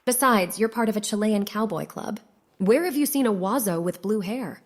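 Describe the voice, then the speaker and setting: A woman's voice: mid-range voice, normal speed, natural voice. A woman voicing a firm, disapproving mother in a fan-dubbed comic news interview.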